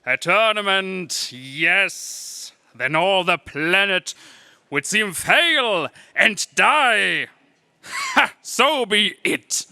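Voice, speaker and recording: Cruel space emperor, theatrical villain, high sharp voice, sweeping pitch, radio play. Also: male voice, expressive, drawn-out words, creaky, clear, resonant tone, no room sound, clean studio sound, heavily acted. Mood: gloating. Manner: pompous, theatrical, commanding. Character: imperious, cruel alien emperor and father, a gloating villain. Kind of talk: 1930s space serial radio play acting.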